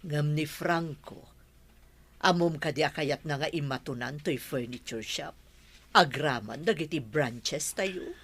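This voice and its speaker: A woman's voice: low voice, a little slow, a bit bright, heavily acted. A woman with a Filipino Ilocano accent playing a worried, weary older mother who runs a family business in a radio drama. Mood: concerned. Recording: noisy track with clicks and insect sounds.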